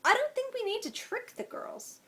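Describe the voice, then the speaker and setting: A woman reading as a gentle young fae man. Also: very high-pitched, big pitch swings, moderate pace, voice pushed up, exaggerated voice. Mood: grave.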